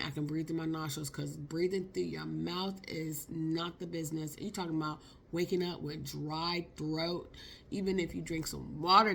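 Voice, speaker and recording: A woman, hoarse from a cold, telling a casual, slangy story for her vlog. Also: low voice, slight background noise. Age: 50s. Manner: chatty, expressive, slangy. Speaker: vlogger.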